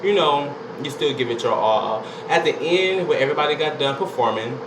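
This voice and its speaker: A man's voice: a little high, expressive, brisk. A young man, telling a laid-back, chatty story to camera and filling it with "you know" and "like". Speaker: vlogger. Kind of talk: casual storytelling vlog. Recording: echoey room with air conditioner hum.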